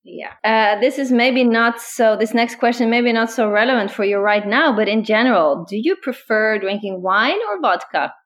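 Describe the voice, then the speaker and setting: A woman in her thirties from Northern Norway, speaking English with a Northern Norwegian accent as a podcast co-host, relaxed and laughing often. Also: a little high, moderate pace, few pauses. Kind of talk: casual chat.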